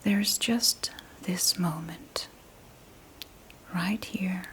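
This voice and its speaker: A woman softly guiding a sleep meditation in a breathy ASMR whisper, soothing and gentle, with long pauses between short phrases. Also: fairly low pitch, fairly even intonation, a little hiss or hum. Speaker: meditation guide.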